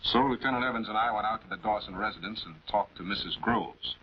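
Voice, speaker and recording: A man's voice: fairly low pitch, natural voice. A man playing a composed, affable public prosecutor in a late-1940s television crime drama, speaking in a polite, conversational way. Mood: genial, explaining. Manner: conversational, polite, measured questioning. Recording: dull, noisy 1940s film soundtrack.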